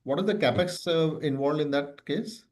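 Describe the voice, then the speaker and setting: A man with an Indian accent moderating an earnings call, relaying investor questions in halting phrases. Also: fairly high pitch, a little mellow. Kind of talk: business question-and-answer call.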